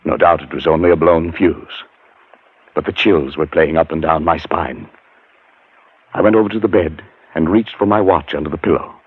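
Deep-voiced haunted man. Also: fairly even intonation, moderate pace, slightly rough. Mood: hushed dread. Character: haunted, fearful man recounting his terror. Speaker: narrator and lead actor. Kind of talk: 1940s radio horror acting. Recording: muffled 1940s radio recording, music behind.

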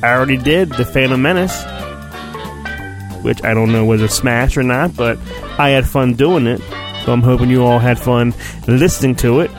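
A man's voice: medium pitch, moderate pace, over music. A man hosting a podcast, giving a comic, mock-earnest dramatic storybook reading with chatty asides and his own sound effects.

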